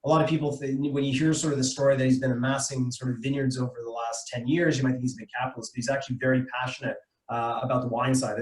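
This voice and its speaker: A middle-aged man with a Canadian accent gives an informal, explanatory presentation as a wine importer, speaking conversationally with some hesitation over a video call. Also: medium pitch. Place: indoor room, online video meeting. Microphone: video call microphone. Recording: echoey room on a video call.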